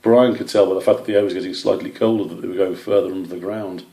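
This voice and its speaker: Male story reader: low-pitched, creaky voice, scripted storybook narration. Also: steady tone, fast talker, mellow.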